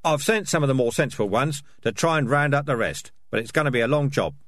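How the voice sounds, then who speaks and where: A scrappy male rat fighter acted by a man in a storybook reading, pitched up and hard-edged. He is blunt, snappish and suspicious, fiercely loyal to his clan. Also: lively intonation, fast, a bit bright, natural voice. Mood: urgent.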